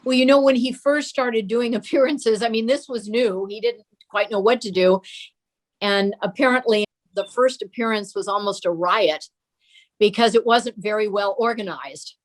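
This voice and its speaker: A woman's voice: mid-range voice, normal speed, poor audio. An older woman, an interview guest, casually telling family memories.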